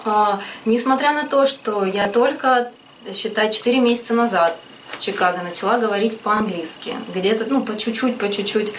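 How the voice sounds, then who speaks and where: A woman's voice: medium pitch, normal speed, distant mic, slight background noise, poor audio. A woman guest in a casual interview, chatting with frequent laughs and hesitant fillers.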